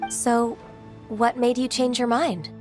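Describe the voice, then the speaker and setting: An actress voicing a gentle, modest, youthful immortal secretary in a video game, speaking with courteous formality. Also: female voice, a little high, little pitch movement, slow. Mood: kind, attentive. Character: gentle, polite young-sounding immortal secretary, modest and reliable. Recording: music under the voice.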